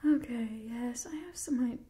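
A young woman playing a haughty, doting immortal vampire in a whispered ASMR roleplay, speaking softly and breathily in a refined, coaxing way. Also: a little high, lightly acted. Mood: haughty, approving. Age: young adult. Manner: slow, refined, coaxing, faintly imperious. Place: small indoor set with wooden backdrop. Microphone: close mic, out of frame. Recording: close-miked whisper, noticeable hiss, soft clicks.

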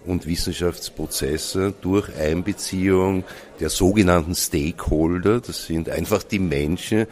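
A man at an event giving a deliberate, academic explanation and carefully defining his terms. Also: deep voice, a little mellow. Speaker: researcher speaking at an event.

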